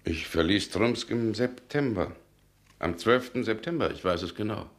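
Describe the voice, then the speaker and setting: Male actor as composed stern gentleman, low-pitched, formal, probing, clipped, radio drama. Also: lively intonation, moderate pace, close to an everyday voice. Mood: probing, guarded. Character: stern, composed gentleman questioning an old acquaintance. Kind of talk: German radio drama acting.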